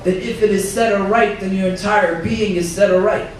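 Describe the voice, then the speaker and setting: A man giving a reflective religious talk as an imam, hoarse from illness, quoting Arabic scripture in a measured way. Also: high voice, steady tone. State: hoarse, losing his voice. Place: banquet hall. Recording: roomy far-off hall recording with faint noise.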